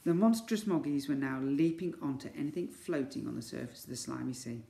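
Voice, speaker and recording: A man's voice: medium pitch, fairly quick, gravelly, mellow. A man reading a children's story aloud in a theatrical way. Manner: theatrical, exclaims sound words. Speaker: school story reader. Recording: echoey room.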